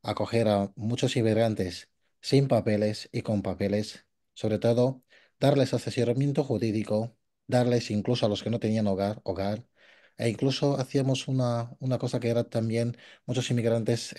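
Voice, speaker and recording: A man's voice: lowish voice, fairly even intonation, normal speed, mellow. A man speaking at a conference, sharing his experience with hesitant speech full of fillers. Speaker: conference participant. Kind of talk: conversational remarks at a conference.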